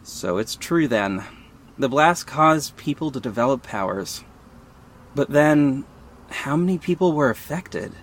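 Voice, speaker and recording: Guy playing young sarcastic boss narrating an audio drama, dramatic, really varied pitch. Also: a little hiss or hum. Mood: anxious. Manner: wry, dramatic. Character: young, petty, sarcastic company head and narrator. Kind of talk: first-person audio drama narration and dialogue.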